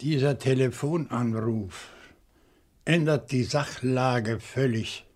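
An actor playing an agitated, accusing professor who questions deliberately and dramatically in a German radio crime drama. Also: male voice, medium pitch, darkish tone. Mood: grave, deductive.

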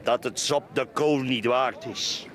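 A man's voice: medium pitch, takes it slowly, a bit bright. An actor playing a grumpy, prudish middle-aged man in a Flemish radio play, blustering and muttering with a Flemish accent. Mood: cynical. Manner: blustering, grumbling, exclaims and mutters. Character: grumpy, prudish, boastful heavyset middle-aged bourgeois beach-café customer.

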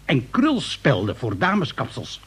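An actor playing an eccentric, opinionated inventor in a radio play, chatty and indignant, with emphatic rhetorical delivery. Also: male voice, medium pitch, huge pitch swings, unhurried, a bit bright, slightly rough, exaggerated voice. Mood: chatty, proud. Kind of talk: Dutch radio play dialogue.

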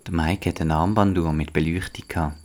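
Deep-voiced Swiss German male narrator. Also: fairly even intonation, a little fast, darkish tone. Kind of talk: scripted children's story narration.

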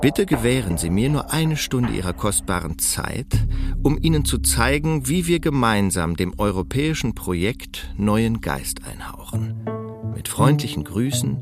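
An earnest, stubborn polyglot idealist. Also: male voice, medium pitch, sing-song, unhurried, over music. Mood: formal, pleading. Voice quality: hesitant, with frequent "äh" fillers. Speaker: private scholar petitioning officials. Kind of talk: radio drama acting.

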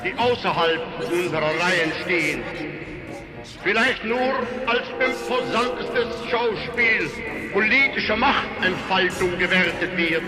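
Casting: male 1930s orator, high raised voice, solemn declamation, archive sound under loud music. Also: a little slow, poor audio. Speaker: political orator. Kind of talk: propaganda speech oratory.

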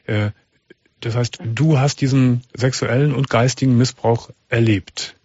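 A man hosting a late-night radio call-in show, giving callers calm, direct advice with frequent restarts. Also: medium pitch, a little slow, husky, no room sound, highs cut off. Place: radio studio.

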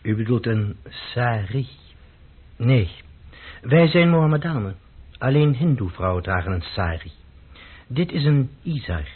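An actor playing a dignified, serious lawyer of about thirty in a radio play, speaking in a measured, courteous, explaining way. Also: male voice, mid-range voice, lively intonation, breathy edge. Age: 30s. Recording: dull, muffled old radio recording.

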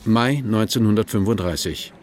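A man's voice: on the low side, moderate pace, noisy background. A man making brief scripted announcements, like chapter markers in a documentary. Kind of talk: scripted date and place announcements. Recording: plucked guitar music behind voice. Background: guitar music.

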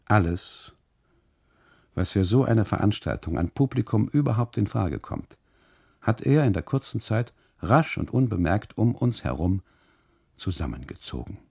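A man's voice: on the low side, sing-song, a little slow, vocal fry, darkish tone, husky. A man performing a first-person literary monologue for radio, with theatrical, emphatic phrasing.

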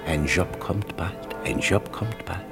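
A poor old London errand porter in a vintage radio drama. He is low-voiced, tender and whimsical, savouring his words theatrically. Music runs through the old broadcast recording. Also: takes it slowly, gravelly, full character voice. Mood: warm, devout. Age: older. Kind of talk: 1950s German radio play acting. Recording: 1950s radio production with music.

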